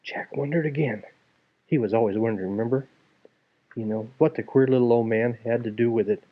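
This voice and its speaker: Guy telling a bedtime style story to a kid, medium pitch, chatty asides, dull home audio. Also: brisk. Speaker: storyteller reading to a child. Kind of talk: expressive read-aloud storytelling with casual asides.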